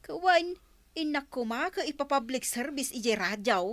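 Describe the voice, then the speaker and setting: A woman's voice: high voice, expressive, normal speed, no echo. A woman with an Ilocano accent playing a cheeky, gossipy village shopkeeper in a comic audio drama.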